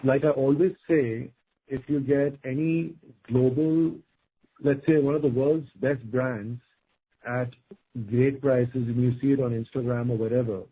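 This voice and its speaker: Indian guy exec on an earnings call, bit raspy, muffled audio, explains stuff in fits and starts. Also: medium pitch, fairly even intonation, a little slow. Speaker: company executive on an earnings call. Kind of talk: spoken answers on a business conference call. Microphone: conference call line. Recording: dull, lo-fi conference call audio.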